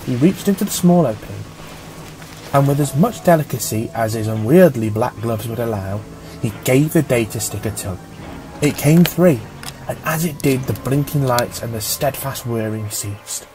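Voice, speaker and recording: A man narrating a scripted story as an audiobook reader, with a measured storytelling manner. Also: medium pitch, animated, mellow, soft background music, rough recording.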